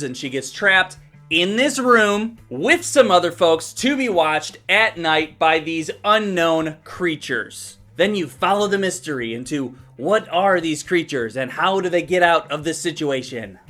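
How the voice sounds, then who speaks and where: A man's voice: high-pitched, expressive, unhurried, fairly bright tone, faint music behind. A man giving a casual, blunt movie review in a conversational way, with some casual swearing. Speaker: film reviewer.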